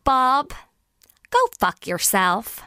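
A woman's voice: fairly high pitch, animated, extremely slow, sharp tone, clear, resonant tone, no echo, studio quality, full character voice. A woman playing a confident, outspoken female film director who guides others in a radio drama, assured and blunt. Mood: defiant.

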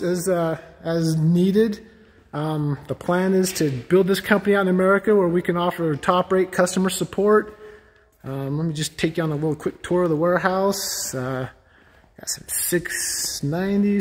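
A man's voice: high voice, a little mellow. A man in his forties, a warehouse director, giving a rambling, self-deprecating tour of the office and warehouse, full of fillers. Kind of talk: casual company tour. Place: office, then large warehouse. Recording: long warehouse reverb.

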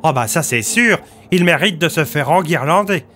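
A man's voice: on the high side, expressive, normal speed, sharp tone, slight background noise, pitched above the performer's own voice. A man voicing a naive, dim-witted, chatty police sergeant in an audio story, hesitant and guileless. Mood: cheerful, oblivious.

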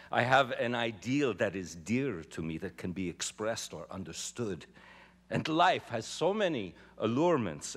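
Actor reading a young immigrant organizer addressing a jury, lively rising and falling delivery, podium mic on a big stage. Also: male voice, lowish voice. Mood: tender. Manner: impassioned, swelling then dropping to hushed. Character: young male immigrant labor organizer pleading before a jury. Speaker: actor giving a dramatic reading. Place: large theater stage. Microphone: podium microphone.

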